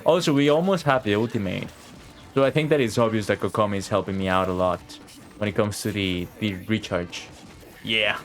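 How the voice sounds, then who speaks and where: A man's voice: lowish voice, brisk. A man giving casual gaming commentary as he streams a video game. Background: video game audio and music.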